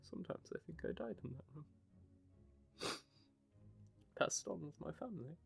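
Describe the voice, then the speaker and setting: A man playing a young knave who tells his story in a wry, rambling way, with dark humour. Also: on the high side, lively intonation, fast talker, vocal fry, faint music behind. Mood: grieving. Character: young male knave, wry, haunted by grief. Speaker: confessing rogue. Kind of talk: hobby audio drama monologue.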